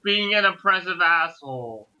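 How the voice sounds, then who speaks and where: Sharp, high-voiced man, lo-fi recording. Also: animated, normal speed. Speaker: co-host commentator. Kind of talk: casual opinionated commentary. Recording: dull, lo-fi voice recording.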